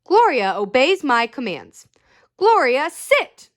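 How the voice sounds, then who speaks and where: A woman voicing an earnest, proud male police officer who announces safety tips deliberately in a picture book read-aloud. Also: sits high, big pitch swings, exaggerated voice. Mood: earnest, instructive.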